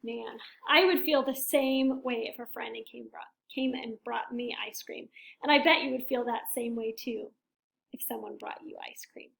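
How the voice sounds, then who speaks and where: A woman teacher reading a picture book aloud to young children, expressive and asking questions to draw listeners in. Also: a little high, normal speed, creaky.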